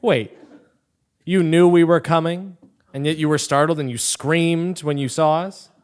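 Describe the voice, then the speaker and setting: A man's voice: on the high side, steady tone, unhurried, a little mellow, clean tone, close to an everyday voice. A man playing an exasperated, skeptical plotter, the impatient straight man in a comic stage play. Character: exasperated, skeptical male plotter humoring his friend. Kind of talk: comic stage acting before a live audience. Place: theatre stage, live performance.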